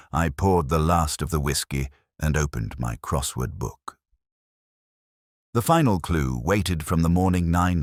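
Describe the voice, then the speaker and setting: A man playing a weary, melancholy detective, narrating a mystery in the first person in a measured, contemplative, literary way. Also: very deep voice, no room sound, studio quality, full character voice.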